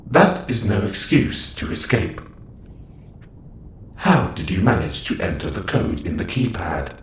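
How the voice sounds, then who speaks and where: A man's voice: deep voice, sing-song, measured pace, reverberant, slight background noise, exaggerated voice. A man playing a formal, scolding robot warden in an audio roleplay, with clipped phrasing. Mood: stern, disapproving. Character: stern, formal male-voiced robot prison warden.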